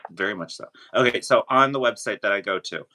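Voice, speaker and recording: A man chatting casually as a guest, sharing his own experience in a halting way, often rephrasing himself. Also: fairly low pitch, noisy background. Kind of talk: casual chat.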